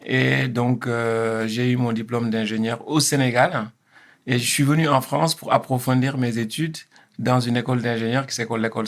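Gravelly-voiced man in his fifties, hesitant. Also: medium pitch. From Senegal. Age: 50s. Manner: hesitant, many fillers and restarts. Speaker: guest. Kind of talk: interview answers.

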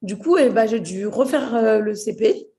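A woman's voice: fairly high pitch, animated, normal speed, warm tone, a little hiss or hum. A young woman from Portugal talking about her life as an interview guest, hesitant, with many fillers and restarts. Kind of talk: casual interview chat.

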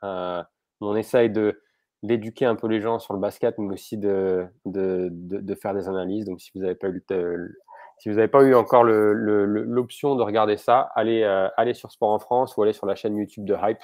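Low-voiced man, dry and halting. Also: steady tone, a little mellow, dry recording. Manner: halting, lots of restarts. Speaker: guest, basketball writer. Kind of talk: casual chat.

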